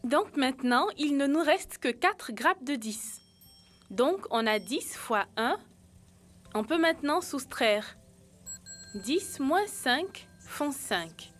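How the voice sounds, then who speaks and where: A woman's voice: high-pitched, very animated, takes it slowly, a bit bright, soft background music, exaggerated voice. A woman voicing an earnest, helpful young animal in a French educational cartoon, explaining things step by step.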